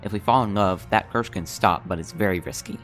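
Teen boy hiding magic, voiced by a man; earnest, gentle, deep-toned. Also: a little fast, soft background music. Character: young man Jacob, secretly magical, earnest. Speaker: voice actor. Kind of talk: amateur voiced game movie.

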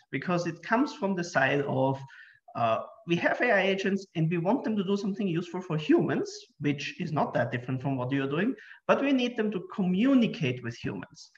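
Man from Austria, thirties, conference lecture, friendly, many fillers, slightly raised pitch, dull lo-fi audio. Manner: friendly, self-deprecating, frequent fillers. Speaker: computer science researcher giving a talk. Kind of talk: conference lecture over video call. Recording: muffled video call audio.

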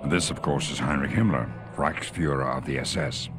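An actor playing a grave, wry Allied intelligence officer giving a measured, authoritative mission briefing in a war video game. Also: male voice, a bass voice. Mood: dry contempt. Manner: measured, authoritative, dryly contemptuous of the enemy. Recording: music under the voice.

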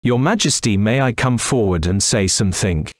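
Man acting a low, animated cartoon folk-tale character. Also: normal speed, no echo, clean studio sound, close to an everyday voice. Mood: declamatory. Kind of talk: scripted cartoon dialogue.

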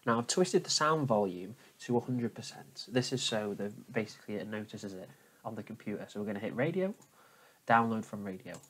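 A man talking viewers through a software tutorial step by step, in an informal, explanatory manner. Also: lowish voice, animated, a little fast, slightly rough. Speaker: tutorial host. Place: indoors at a computer, voice over screen capture.

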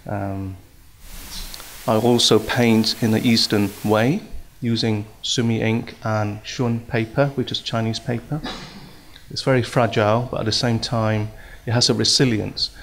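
A man's voice: on the low side, level tone, unhurried, slight background noise. A middle-aged man from Hong Kong giving a hesitant talk at a symposium, with many fillers and restarts, into a stand microphone in a large hall. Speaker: speaker at a symposium. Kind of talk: conference talk.

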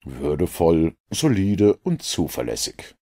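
Male audiobook narrator, creaky, lively intonation, scripted storytelling. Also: low voice, takes it slowly.

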